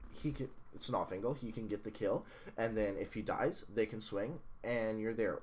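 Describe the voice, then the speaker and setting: A man reviewing a match replay as a coach, blunt and analytical, a little hoarse from losing his voice. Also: fairly low pitch. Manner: analytical, blunt, swears at software glitches. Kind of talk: casual match review commentary.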